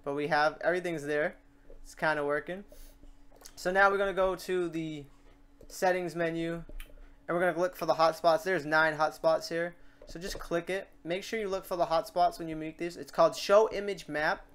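Smooth, higher-voiced man hosting a casual tutorial. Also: fairly even intonation, normal speed, a bit bright. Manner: informal, rambling, thinks aloud while working. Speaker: tutorial host. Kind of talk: casual screen-share software tutorial. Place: indoors at a computer.

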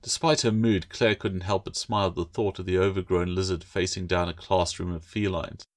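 Male author, lowish pitch, scripted audiobook narration, fantasy storytelling. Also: steady tone, fairly quick, dry recording, clean studio sound. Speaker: author reading own novel.

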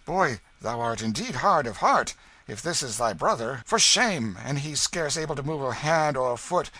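A man voicing a kindly, soft-hearted gentleman in a storybook, fussing anxiously with pitying exclamations in archaic speech. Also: mid-range voice, expressive, normal speed, dry recording, voice pushed up. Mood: shocked, reproachful.

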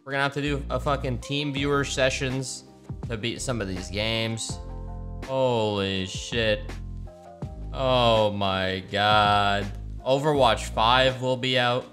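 A man in his thirties streaming games, chatting casually with loud profanity and excitable trash talk. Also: mid-range voice, takes it slowly, faint music behind. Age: 30s. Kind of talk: casual gaming stream chat.

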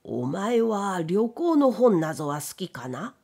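Storybook role: brooding elderly gentleman female reader performing, commanding, unhurried, old-fashioned turns of speech. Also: high-pitched, very animated, gravelly, full character voice. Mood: commanding, gruff. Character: stern, brooding blind old gentleman, master of the house.

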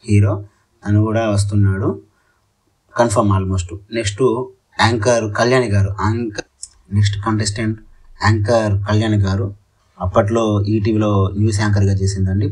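A man's voice: on the low side, a little mellow, recorded from a distance, poor audio. A man with a Telugu accent presenting an entertainment news update as a channel host, addressing viewers politely.

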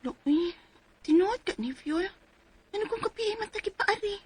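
A woman's voice: very high-pitched, lively intonation, moderate pace, darkish tone. A woman in her twenties from Cebu province voicing a timid young helper who narrates her own story and pleads apologetically in a radio drama. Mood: worried. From Cebu province, Philippines. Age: 20s. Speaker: letter-writer narrator and lead.

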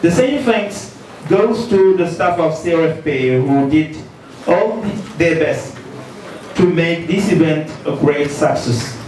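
A man's voice: on the high side, darkish tone. Senegalese man reading a formal welcome speech in an echoey hall. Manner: measured, ceremonious, reading aloud. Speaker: association president. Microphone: handheld mic, filmed from a distance. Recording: echoey hall, distant, faint noise.

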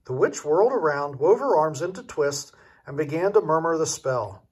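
Lively, husky older man reading aloud. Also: a little high, normal speed. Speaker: grandfather reading a storybook. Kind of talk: expressive children's storybook read-aloud.

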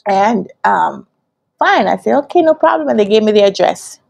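A woman in her thirties with a Jamaican accent, telling a personal story casually to camera in an animated, expressive way, acting out dialogue as she goes. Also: medium pitch, normal speed, gravelly. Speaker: business analyst trainer telling her career story. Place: quiet indoor room with curtains. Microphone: lapel.

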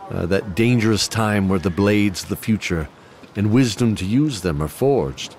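A man's voice: fairly low pitch, normal speed, a little mellow, a little hiss or hum, close to an everyday voice. A man playing a genial fantasy guide who hosts an audio drama, theatrical and folksy, with hesitant asides. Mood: fussy, earnest. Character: genial male guide sending adventurers off. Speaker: host and storyteller. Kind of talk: in-character fantasy audio drama host narration. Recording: music behind the voice.

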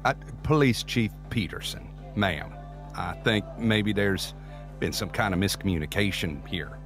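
An actor playing an evasive, smooth-talking man in an audio drama, flippant and wheedling. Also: on the low side, expressive, unhurried, music in the background. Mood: nervous, placating. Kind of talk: scripted audio drama acting.

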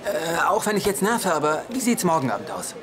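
Sitcom role: eager, overthinking guy in his twenties, very animated, crowd behind. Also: on the high side, fairly quick, noisy background. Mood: nervous flirting. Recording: busy bar chatter behind TV dialogue.

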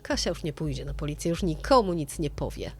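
A woman with a fairly low voice narrates a true-crime story in the manner of an audio drama. Soft music plays behind her reading. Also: lively intonation, a little fast.

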